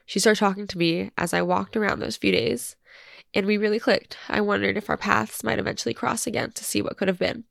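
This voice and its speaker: A woman in her twenties, a podcast host, reading a personal letter aloud while crying and congested, halting and full of fillers. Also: mid-range voice, steady tone, creaky, no echo, studio quality. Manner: tearful, halting, many fillers.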